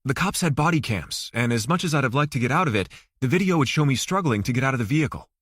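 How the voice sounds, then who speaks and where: Man playing a cocky, evasive lawyer, animated delivery. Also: medium pitch, fast talker, dry recording, studio quality. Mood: defensive. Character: Rafael Suarez, cocky evasive Cuban-American suspended lawyer.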